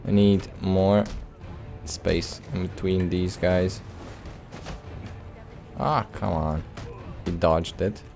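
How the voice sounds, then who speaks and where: A man's voice: low-pitched, steady tone, extremely slow, creaky, pauses often, a little mellow, soft background music. A man giving casual, laid-back gameplay commentary in short phrases as he plays. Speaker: let's play host.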